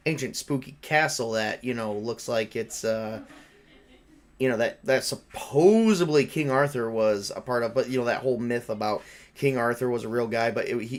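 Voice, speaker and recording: Man running a tabletop game, halting delivery. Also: medium pitch. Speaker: game master of a tabletop horror game. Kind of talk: improvised tabletop roleplay and narration, conversational.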